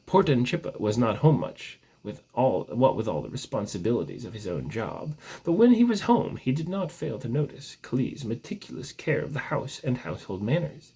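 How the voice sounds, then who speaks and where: A man with a husky voice reads a storybook legend aloud as narrator, stretching words with theatrical emphasis. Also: mid-range voice, big pitch swings, moderate pace, darkish tone.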